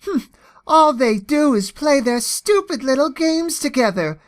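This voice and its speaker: A woman plays a snooty young cartoon pony classmate, high-voiced and slow. The delivery is disdainful, with exaggerated rises and falls. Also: expressive.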